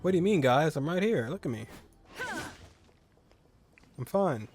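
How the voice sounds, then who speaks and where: A man's voice: on the high side, expressive, measured pace, a little mellow. Joking man doing casual game commentary. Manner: joking, reacts aloud to the dialogue. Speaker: video game streamer. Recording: faint game music behind. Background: game music.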